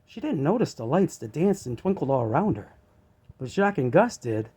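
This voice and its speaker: A man reading a children's story aloud as an expressive narrator. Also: fairly high pitch, brisk, husky.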